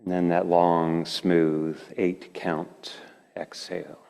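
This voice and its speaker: A man leading a guided meditation as a teacher, speaking in gentle, slow phrases with long pauses. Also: low voice, little pitch movement, vocal fry, smooth. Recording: reverberant hall.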